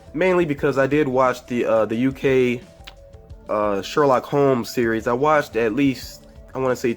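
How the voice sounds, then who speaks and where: A man with a stuffy, congested voice from a cold talks through a casual film review at a middling pitch. He rambles easily, backtracks and corrects himself mid-sentence. Soft music plays faintly behind him. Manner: rambling and chatty, with frequent fillers and self-corrections. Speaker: movie review vlogger. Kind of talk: casual spoiler movie review.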